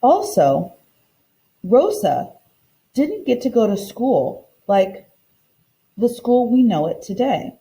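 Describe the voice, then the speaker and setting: A woman's voice: a little high, very animated, takes it slowly, pauses often, dark tone, poor audio. A woman teacher reading a children's book aloud and explaining it in simple terms for young listeners.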